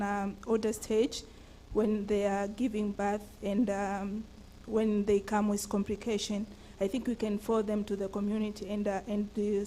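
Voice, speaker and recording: Flat, mellow-voiced woman speaking hesitantly. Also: mid-range voice, slight background noise, low-quality recording. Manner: hesitant, with many fillers. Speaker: hospital representative, audience participant. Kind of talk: comment from the floor. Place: conference hall.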